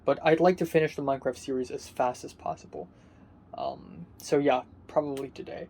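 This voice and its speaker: A young man giving a casual diary vlog from his apartment. His voice is muffled and raspy, and he talks hesitantly, rambling with many ums and restarts. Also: mid-range voice, steady tone, a little fast, a little mellow, slight background noise. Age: young adult. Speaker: vlogger.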